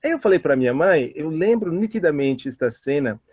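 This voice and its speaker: Sex: man. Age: middle-aged. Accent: Italian. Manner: quick, halting storyteller. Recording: dull, muffled, dry. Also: a little high, no room sound. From Italy. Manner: fast, animated talker with many hesitations and restarts. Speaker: guest expert. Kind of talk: interview answers, personal storytelling. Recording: muffled lo-fi audio.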